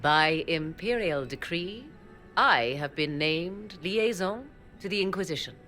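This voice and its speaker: A woman's voice: fairly low pitch, animated, measured pace, bright, forceful tone, soft background music. An actress voicing an aloof, sardonic woman mage, speaking in formal, old-fashioned phrasing with dry, teasing asides. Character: aloof, sardonic woman mage who deals in forbidden arts. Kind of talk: video game cutscene acting.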